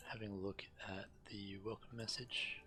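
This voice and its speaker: A man's voice: fairly low pitch, level tone, normal speed. A man streaming a video game, reading quest text aloud and adding casual commentary. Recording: game music playing behind. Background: video game music.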